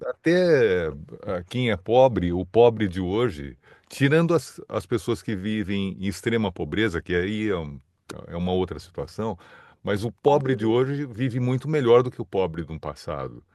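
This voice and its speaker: A man's voice: lowish voice, very animated, unhurried, darkish tone, no room sound, clean studio sound. A man from São Paulo, Brazil, answering interview questions in a thoughtful, explanatory way, with small restarts and the odd laugh. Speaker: guest, communication scholar.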